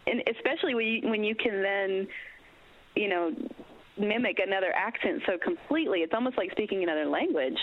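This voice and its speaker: A woman's voice: mid-range voice, lively intonation. An American woman hosting a radio interview, upbeat and encouraging, asking friendly questions. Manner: upbeat, encouraging, quick to laugh. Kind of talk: casual interview. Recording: tinny phone-like radio line with faint hiss.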